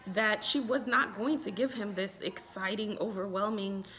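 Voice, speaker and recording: A woman's voice: medium pitch, steady tone, normal speed, fairly bright tone, rough recording. Chatty American woman recapping casually. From Chicago, United States. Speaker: therapist and relationship expert reviewing a TV show. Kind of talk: casual vlog commentary. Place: small room indoors at home.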